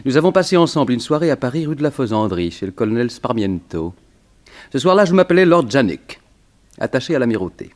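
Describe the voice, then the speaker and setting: An actor playing a cocky, urbane burglar with an ironic, theatrical delivery in a 1960s French radio serial. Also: male voice, mid-range voice, very animated, a little mellow, lightly acted. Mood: confident, mocking. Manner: ironic, theatrical, drawn-out emphasis. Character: cocky, urbane English burglar, mocking and sly.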